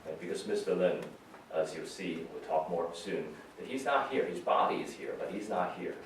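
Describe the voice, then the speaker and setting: A man speaking as a pastor, giving a conversational memorial sermon in a halting, informal, reflective way. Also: deep voice, lively intonation. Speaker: pastor giving a funeral message. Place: large reverberant room at a memorial service. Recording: very echoey hall, distant, faint noise.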